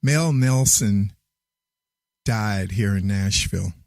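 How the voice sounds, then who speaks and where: Slow, easygoing male host. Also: fairly low pitch, gravelly, no echo. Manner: relaxed, unhurried, friendly questioning. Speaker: podcast host. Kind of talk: casual conversational interview. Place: Nashville.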